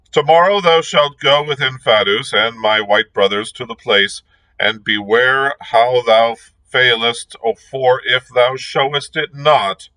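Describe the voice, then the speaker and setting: A man's voice: mid-range voice, bright, forceful tone, rough recording. A man voicing a stern young king who speaks in grave, formal old-fashioned language. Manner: solemn, measured archaic speech.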